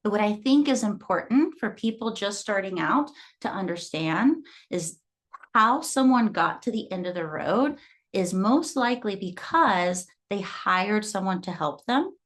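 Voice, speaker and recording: A woman in her thirties from Guatemala, a fitness coach giving an earnest motivational talk that is full of client stories. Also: mid-range voice. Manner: earnest, storytelling. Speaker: fitness coach and gym owner.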